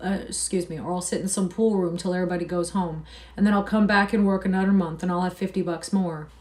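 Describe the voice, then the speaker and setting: A woman's voice: fairly low pitch, fairly even intonation, quick pace, a little mellow, lightly acted. A woman reading aloud and voicing a weary, loyal male ranch worker in plain rural speech.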